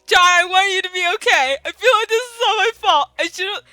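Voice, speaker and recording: A woman's voice: very high voice, brisk, bright, forceful tone, dry recording, clean studio sound, voice pushed up. A woman voicing an emotional young man in an amateur dramatic reading, pleading between sobs. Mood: tearful. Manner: sobbing, breathless pleading. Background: faint classical music.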